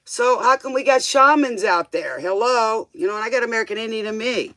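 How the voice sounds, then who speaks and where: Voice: medium pitch, fairly quick, bright, forceful tone, no echo. A livestream tarot host chatting casually in a rambling, informal way, drifting between thoughts and tossing out questioning asides.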